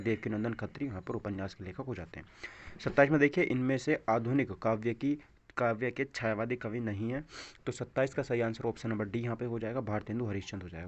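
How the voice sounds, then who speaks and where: Guy coaching exam questions, repeats answers n asks quick check questions, muffled lo-fi mic. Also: mid-range voice. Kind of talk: instructional walkthrough of practice quiz questions. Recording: dull, lo-fi microphone sound.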